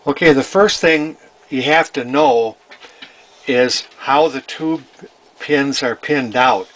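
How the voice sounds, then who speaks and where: A man with a medium-pitched voice explains technical repair work in an informal way. He goes through it methodically, with a halting delivery, often repeating words and phrases as he demonstrates. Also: fairly bright tone. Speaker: vintage radio repair hobbyist presenting.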